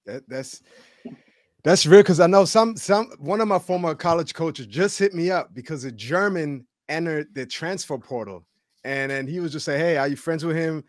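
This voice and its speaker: A man hosting casual sports talk, telling stories in an animated, slangy way and repeating words for emphasis. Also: fairly high pitch, dry recording, studio quality. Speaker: podcast host and former college football player.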